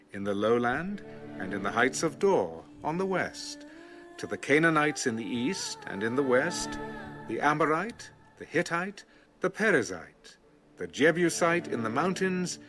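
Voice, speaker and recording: Male scripture narrator, mid-pitched, dramatic and solemn, animated intonation, scored with music. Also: measured pace.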